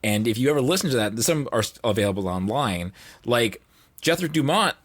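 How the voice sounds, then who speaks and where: A man's voice: medium pitch, animated, a little fast, a bit bright, no echo. A man talking casually and emphatically about pop culture as a podcast guest.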